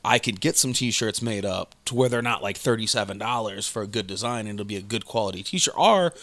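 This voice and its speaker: A man's voice: on the low side, fairly quick, fairly bright tone, no echo. A man co-hosting a podcast, chatting casually and rambling with many fillers and restarts. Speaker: podcast co-host. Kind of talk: casual chat.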